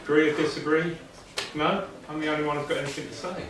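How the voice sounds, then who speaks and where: A man's voice: on the high side, steady tone, quick pace. Chatty guy asking the kids questions, roomy echoey space, mic far off, some noise. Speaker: debate host. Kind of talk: casual post-debate chat.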